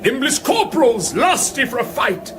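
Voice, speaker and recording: A man's voice: extremely high pitch, sing-song, moderate pace, noisy background. An actor playing a bombastic crowned king with pompous, declamatory mock-Shakespearean delivery in a radio comedy. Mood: rallying, pompous. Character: bombastic, heroic, faintly desperate crowned king.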